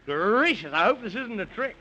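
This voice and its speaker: A fussy, excitable Man in the Moon for an old radio children's serial. The voice is very high and swoops in a lively, folksy way. The recording is dull and noisy. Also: normal speed, full character voice.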